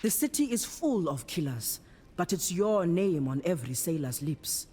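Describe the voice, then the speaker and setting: A woman playing a wise, commanding priestess in a fantasy game, speaking in a solemn, measured way. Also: on the low side, very animated, dark tone, husky. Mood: solemn, assured. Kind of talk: cinematic fantasy game acting. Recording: long hall-like reverb.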